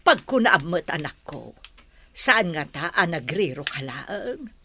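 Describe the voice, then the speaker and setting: A woman's voice: sits low, sing-song, unhurried, bright, forceful tone, slightly rough, full character voice. A woman acting as an anxious, fretful mother in a radio-style drama, questioning with concern.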